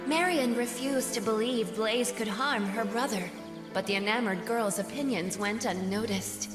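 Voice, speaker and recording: A woman's voice: on the high side, moderate pace, natural voice. A woman voicing a solemn magical spirit who narrates a tale in a fantasy game, with echoing reverb on her voice. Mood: solemn storytelling. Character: solemn magical female storytelling spirit of an enchanted amulet. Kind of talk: scripted storybook narration. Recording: long echoing reverb over music.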